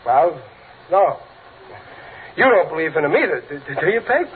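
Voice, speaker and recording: A noisy, narrow old radio recording of a jittery American family man in a comedy. His voice sits a little high, and he hedges and stammers as he blusters to hide his fear. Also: sing-song, normal speed. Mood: uneasy. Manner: stammering, hesitant. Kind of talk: 1940s radio comedy acting.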